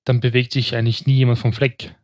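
Man, middle pitch, casual podcast discussion, co-host, questioning, sceptical, echo-free sound. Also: level tone, fast, a little mellow.

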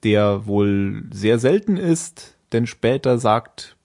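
A man's voice: fairly low pitch, lively intonation, slow pace, darkish tone, slight background noise. A man in casual podcast chat, walking through the story of an episode. He talks in a loose, meandering way, often stopping to fix what he just said. His delivery stays informal and unrehearsed. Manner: rambling, self-correcting, with frequent fillers and laughs. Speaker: podcast co-host.

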